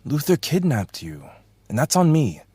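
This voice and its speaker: A man's voice: on the high side, huge pitch swings, normal speed, mellow, slightly rough, no room sound, full character voice. A young man acting in an audio drama as a twin brother, speaking hesitantly and apologetically. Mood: remorseful.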